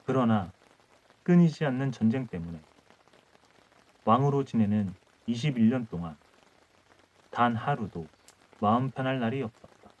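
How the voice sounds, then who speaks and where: Calm male bedtime storyteller. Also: mid-range voice, dull audio. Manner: measured, phrase-by-phrase reading. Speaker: audiobook narrator.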